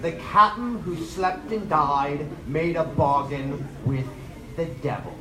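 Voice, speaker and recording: A slow, brooding ghostly sea captain. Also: male voice, fairly high pitch, crowd noise. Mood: grim, haunted. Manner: slow, portentous, dramatic pauses and repetition. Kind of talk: live old-time radio horror acting. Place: on stage in a theater. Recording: echoey live theater, loud music behind.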